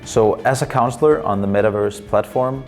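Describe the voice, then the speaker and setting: A Danish man in his twenties, a psychology student and counselor, giving thoughtful interview answers in English as a second language, often pausing with fillers. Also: lowish voice, darkish tone, faint music behind. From Denmark. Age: 20s.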